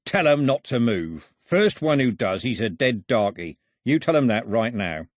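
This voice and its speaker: A male narrator plays a gruff gunman and bully in a storybook. His voice is husky and growling, his delivery sneering and short-tempered. He swings in pitch as he threatens, recorded dry. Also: expressive, moderate pace, no echo, natural voice. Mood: menacing. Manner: growling, sneering, mocking, coarse.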